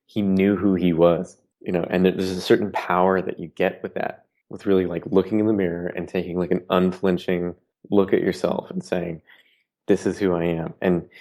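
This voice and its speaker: A man's voice: deep voice, mellow. A man talking earnestly as a guest artist on a podcast, musing on art, honesty and creative work. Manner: earnest, philosophical. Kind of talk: podcast conversation.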